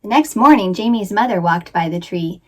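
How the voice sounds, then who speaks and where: Female children's storyteller, mid-range voice, expressive and theatrical picture-book narration. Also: medium pitch, normal speed. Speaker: children's author and librarian reading aloud.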